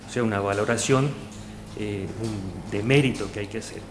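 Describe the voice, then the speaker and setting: A man's voice: fairly low pitch, normal speed. A man in his thirties from Salta, Argentina, answering a formal interview panel, hesitant, with many fillers and restarts. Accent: Argentine Spanish, Salta. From Argentina, Salta province. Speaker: job candidate. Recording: echoey room, faint noise.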